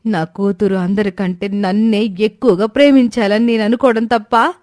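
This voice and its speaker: A woman's voice: medium pitch, steady tone, normal speed, darkish tone, no room sound, clean studio sound, lightly acted. A woman playing a possessive, anguished young mother in a family drama story, speaking with emotional, stubborn insistence. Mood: hurt, insistent.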